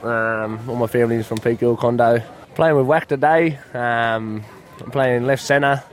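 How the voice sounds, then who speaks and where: A man's voice: medium pitch, measured pace. A man from New South Wales, a footy player, chatting casually in a vox pop interview with many fillers. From New South Wales, Australia. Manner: relaxed, friendly, filler-heavy.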